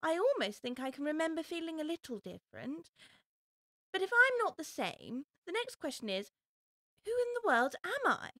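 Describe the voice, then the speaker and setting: A polite, puzzled little girl from a storybook. Her voice is high and swoops with feeling as she reasons aloud to herself, easily upset. The sound is close and dry. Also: sing-song, normal speed, pushed and bright, dry recording, exaggerated voice. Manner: earnest self-questioning, talks to herself aloud. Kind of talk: full-cast audiobook storybook acting.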